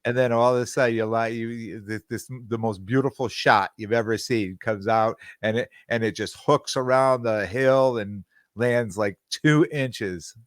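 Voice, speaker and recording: A man's voice: mid-range voice, normal speed, no room sound, clean studio sound. A middle-aged man co-hosting a casual podcast, rambling and repeating words as he tells stories. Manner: rambling, repeats words while searching for them. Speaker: podcast co-host. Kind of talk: casual chat.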